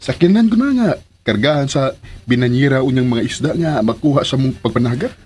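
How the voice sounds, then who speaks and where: A man's voice: medium pitch, animated, fairly quick, a little mellow, breathy edge. A man playing a hopeful, hardworking young son in a radio drama, with a Cebuano Filipino accent. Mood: hopeful, dreamy.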